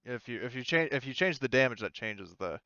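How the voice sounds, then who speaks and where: Guy game mastering a tabletop rpg, clear bright voice, thinks out loud and hesitates, close dry muffled-ish audio. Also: medium pitch, lively intonation, brisk, dry recording. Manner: hesitant, thinking aloud while checking rules. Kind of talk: casual tabletop roleplaying game narration.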